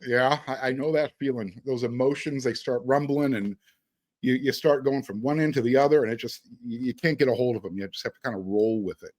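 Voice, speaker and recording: A man's voice: medium pitch, a little fast, darkish tone, no echo. A man hosting a sports show, asking questions in a conversational interview style.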